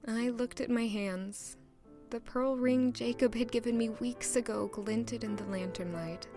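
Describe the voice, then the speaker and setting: An actress playing a gentle, sentimental young lady in an audio drama, polite and soft-spoken, narrating in first person. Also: fairly high pitch, fairly even intonation, measured pace, soft background music, natural voice. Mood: tender, reflective.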